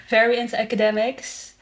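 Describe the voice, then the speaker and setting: A woman's voice: fairly high pitch, level tone, a little slow. A young adult woman from the Netherlands answering interview questions in an informal, tentative way, thinking aloud. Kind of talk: casual chat.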